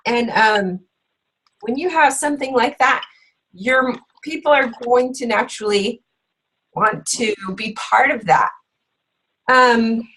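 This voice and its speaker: A woman hosting a book club, a bit hoarse from being sick, chatting casually with lots of fillers. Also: on the high side, a bit bright, low-quality recording. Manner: chatty, with frequent fillers and laughs. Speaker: fitness coach and book club host.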